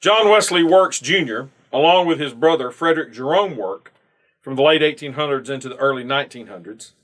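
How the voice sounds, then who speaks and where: A man's voice: on the high side, fairly bright tone. Man reading a formal devotional aloud. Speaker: church member reading a devotional. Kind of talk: scripted narration, read aloud.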